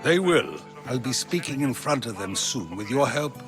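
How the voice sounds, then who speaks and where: Actor as lively, probing Greek philosopher. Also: male voice, medium pitch, music in the background, natural voice. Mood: conspiratorial, assured. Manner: measured rhetorical questioning. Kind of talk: video game dialogue acting.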